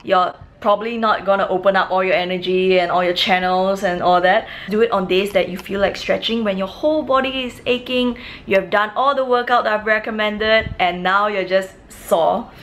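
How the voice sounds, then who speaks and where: A woman's voice: medium pitch, steady tone, moderate pace, hardly any pauses, fairly bright tone, slight background noise. A young woman, a fitness vlogger, chatting casually to camera in a large indoor gym studio, tired after her workout. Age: young adult. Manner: chatty, loose, with frequent fillers.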